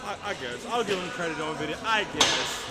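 A man's voice: a little high, lively intonation, brisk. A man bantering casually in a gym with laid-back slang and trash talk. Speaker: athlete. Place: large indoor gym. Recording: loud music over the voice. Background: loud gym music.

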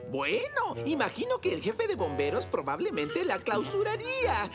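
Gloating little cartoon boy villain, high-voiced, theatrical and bossy, swinging across the pitch range. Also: wildly swooping pitch, moderate pace. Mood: scheming. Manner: theatrical, scornful. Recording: music behind the voice.

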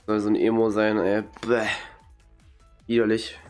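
A man's voice: lowish voice, monotone, a little slow, a little mellow, soft background music. Young man with a cold, blunt and slangy. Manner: blunt, slangy, mocking reactions. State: has a cold, occasional coughing. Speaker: gaming streamer. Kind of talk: casual gameplay commentary. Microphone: headset.

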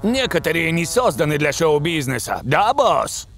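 A man's voice: fairly high pitch, very animated, moderate pace, a bit bright, soft background music. An actor playing a vain, dim young male footballer stand-in in a dubbed cartoon, theatrical and self-important. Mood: smug.

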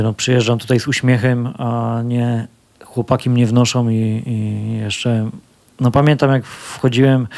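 A man's voice: mid-range voice, darkish tone. Guy in his 30s, fighter at a press thing, handheld mic, loose chatty answers full of "e"s. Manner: casual, many fillers and restarts. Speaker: MMA fighter. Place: press conference room with sponsor backdrop. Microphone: handheld microphone.

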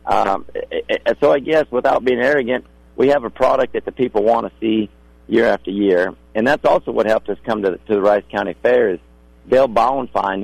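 Middle-aged male rodeo man for radio interview. Gravelly, husky, courteous and folksy. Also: fairly low pitch, a little mellow, no room sound, highs cut off. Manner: polite, folksy. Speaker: rodeo contractor guest.

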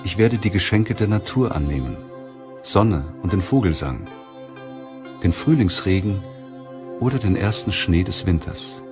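A man narrating a self-help audiobook in a measured, deliberate way. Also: low voice, animated, over music.

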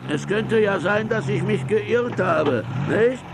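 A man's voice: high voice, lively intonation, takes it slowly, creaky, background noise, heavily acted. A man playing a bumbling but kind-hearted sidekick in a children's audio drama, hesitant and simple-minded. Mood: cheerfully sly.

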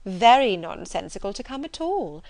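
A woman's voice: mid-range voice, big pitch swings, moderate pace, gravelly, a little mellow. A woman reading a period novel aloud, voicing a snide, gossipy young society lady who stresses words with scandalised emphasis. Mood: scornful outrage. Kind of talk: audiobook character dialogue from a period novel.

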